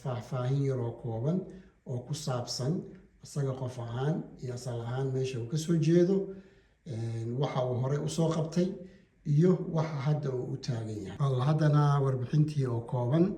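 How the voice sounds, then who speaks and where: A man's voice: mid-range voice, brisk, dark tone. A man interviewing a local candidate outdoors for a community audience, emphatic and hesitant, with fillers between his questions.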